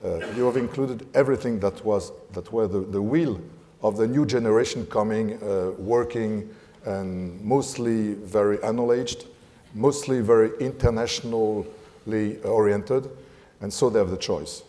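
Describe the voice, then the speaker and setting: A man with a French accent speaking on a panel, often pausing and searching for English words. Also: fairly low pitch, dark tone. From France. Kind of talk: panel discussion. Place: conference hall. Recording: reverberant conference hall.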